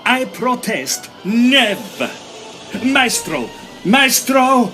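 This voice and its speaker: Vain Italian opera singer, high voice, swooping delivery, florid boasts, period audio drama. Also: male voice, very animated, extremely slow, music in the background, heavily acted. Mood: indignant.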